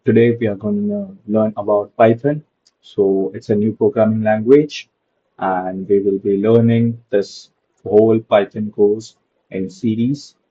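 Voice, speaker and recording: A man's voice: on the low side, little pitch movement, unhurried, breathy edge, dull audio. A young man giving a casual screen-share coding lesson, padding his talk with "okay" and "so". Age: young adult. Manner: loose, repeats "okay" and "so" a lot. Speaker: programming tutor.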